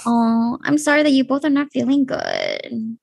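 Gravelly-voiced woman podcast host. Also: high voice, huge pitch swings, moderate pace. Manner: welcoming, checks in on her co-hosts. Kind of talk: casual podcast chat.

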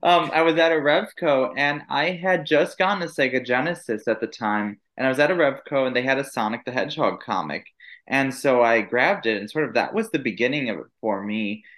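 A man's voice: mid-range voice, brisk, a bit bright. Man in his 30s, first language Italian, casual guest storyteller who backtracks often. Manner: rambling, storytelling with many fillers and restarts. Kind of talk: casual podcast interview.

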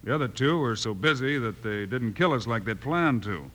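A man's voice: medium pitch, moderate pace. An American actor playing a level-headed, authoritative lawman hero in an old western radio drama. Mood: determined. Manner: calm, authoritative. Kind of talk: 1950s western radio acting. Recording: faintly noisy old radio recording.

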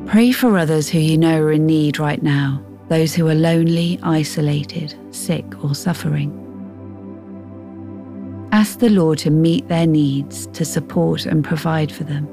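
A woman reading a scripted Bible devotional in a measured, reflective way. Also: low-pitched, steady tone, warm tone. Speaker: devotional reader. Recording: faint music behind.

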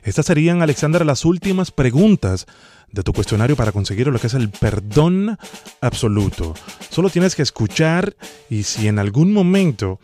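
A man puts on a bureaucratic immigration official. He is formal and officious, sternly polite as he runs a questionnaire on a prank call. His pitch is mid-range, and faint music plays behind him. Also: very animated, moderate pace, mellow, natural voice. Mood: persuasive. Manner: formal, officious, repeats the name.

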